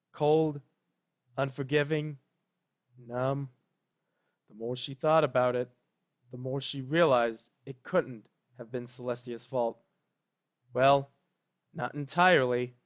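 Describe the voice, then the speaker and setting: A man reading scripted fan fiction as narrator, solemn and deliberate, with dramatic pauses. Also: mid-range voice.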